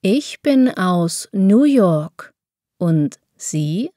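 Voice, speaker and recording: A woman reading German lesson dialogues, taking every part herself with pauses between phrases. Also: medium pitch, animated, warm tone, no room sound, studio quality. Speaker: German reader for a language lesson. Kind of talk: slow, clearly spaced phrase reading.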